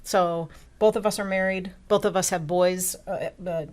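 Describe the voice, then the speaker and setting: A woman's voice: fairly low pitch, fairly even intonation, moderate pace. A woman in her fifties co-hosting a casual chat, laughing often and steering the talk with questions. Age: 50s. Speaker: co-host.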